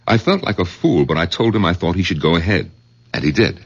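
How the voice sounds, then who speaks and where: A skeptical doctor, very low and husky, with pitch that swings widely in wry exasperation. It is 1970s radio acting, close and dry on a muffled old broadcast. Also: male voice, lively intonation, brisk, no room sound, exaggerated voice. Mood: troubled, confiding. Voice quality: gravelly, husky. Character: skeptical, exasperated male doctor, the old man's son-in-law. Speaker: narrator and doctor character.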